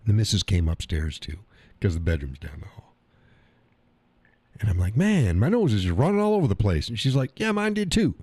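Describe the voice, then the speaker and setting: A man's voice: fairly low pitch, sing-song, a little fast, darkish tone, husky, no room sound. A man with a stuffy nose, hosting a casual live stream, rambling in a folksy way and reading chat messages aloud. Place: home workshop room.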